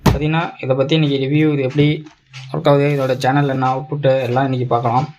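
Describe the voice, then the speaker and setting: A man's voice: medium pitch, flat delivery, fast talker. A man with a Tamil Nadu Tamil accent giving a casual product demo as a tech reviewer, explaining step by step in colloquial, repetitive phrases. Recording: clear background noise, clicks.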